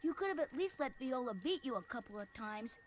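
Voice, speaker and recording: High, expressive young girl. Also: a little fast, heavy background noise. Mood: scolding. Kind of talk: anime video game dub acting.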